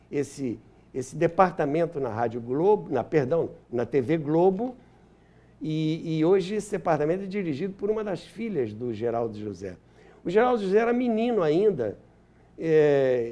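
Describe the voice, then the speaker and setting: An older man with a Brazilian accent and a soft, mellow tone, pitched a little high. He speaks as an interviewed historian, stressing points and repeating words while he finds his thread. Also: very animated, unhurried. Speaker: interview guest, radio historian and author. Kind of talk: anecdotal interview talk.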